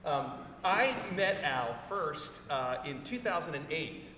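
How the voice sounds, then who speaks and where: A man's voice: fairly high pitch, sing-song, slow pace, sharp tone. A man giving a personal tribute speech at a memorial lecture, chatty and self-deprecating. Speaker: invited speaker giving a tribute. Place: lecture hall. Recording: echoey hall from far off with noise.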